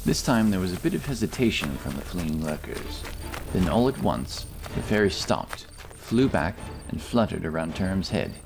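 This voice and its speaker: Male narrator: very low, animated delivery, measured dramatic fantasy storytelling, music underneath. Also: gravelly, low-quality recording.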